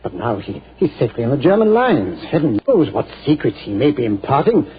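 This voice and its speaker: A man voicing a proud, stern general who stammers earnestly, his pitch rising and falling widely. The sound is thin and lo-fi, like an old radio broadcast. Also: very animated, brisk, a little hiss or hum, lightly acted. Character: stern, proud male general, middle-aged. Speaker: army general. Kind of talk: 1940s radio acting.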